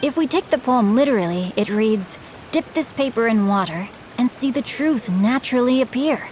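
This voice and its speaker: A woman's voice: fairly high pitch, a little hiss or hum, close to an everyday voice, poor audio. A woman voicing a refined, bookish teenage boy in a video game, eloquent and composed. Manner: eloquent, polished. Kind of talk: scripted game dialogue. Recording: music behind the voice.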